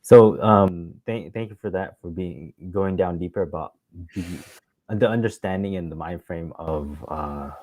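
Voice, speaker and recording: Man, low pitch, dry sound, halting interviewer, casual. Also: a little mellow, no room sound, poor audio. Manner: halting, hesitant phrasing. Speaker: interviewer, show host.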